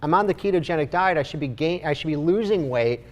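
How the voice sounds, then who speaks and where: Measured man explaining diet in interview. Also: fairly high pitch, lively intonation. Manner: explanatory, measured, frequent restarts, light laughs. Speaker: guest nutrition coach. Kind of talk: casual interview chat. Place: studio.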